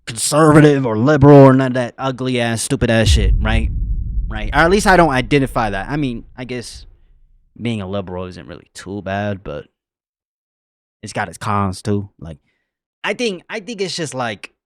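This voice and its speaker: A man's voice: mid-range voice, big pitch swings, moderate pace, dry recording, clean studio sound. A young man giving casual, rambling reaction commentary, full of slang and fillers. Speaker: reaction streamer.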